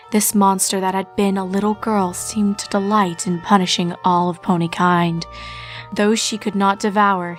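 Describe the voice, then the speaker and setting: A woman narrating a dark fanfiction story in steady, solemn, scripted storytelling. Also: mid-range voice.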